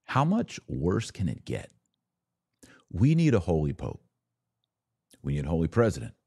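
A man's voice: low-pitched, wildly swooping pitch, measured pace, darkish tone, slightly rough, no echo, clean studio sound. An American man giving opinionated solo podcast commentary, sarcastic and emphatic, repeating phrases for effect.

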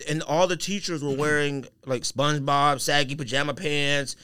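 An American man, around middle age, chatting casually on a podcast in an animated, slangy storytelling way. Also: a little high, normal speed, clean tone, no room sound. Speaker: podcast co-host.

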